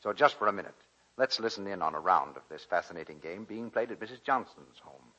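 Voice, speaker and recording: A male radio announcer from the 1940s with a low but bright, forceful voice. He delivers sponsor patter in a salesman's style on a dull, slightly rough vintage recording. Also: expressive, fairly quick.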